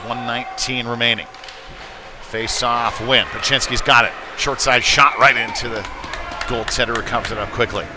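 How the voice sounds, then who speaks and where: A man doing live play-by-play hockey commentary in a halting delivery with frequent fillers. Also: medium pitch, steady tone, crowd noise. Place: indoor ice rink. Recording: noisy live rink stream.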